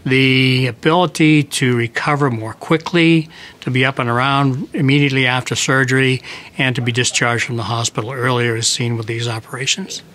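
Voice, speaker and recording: A man's voice: mid-range voice, steady tone, moderate pace, a bit bright. A man speaking as a heart surgeon, explaining in precise, formal, clinical phrasing for a newsreel interview.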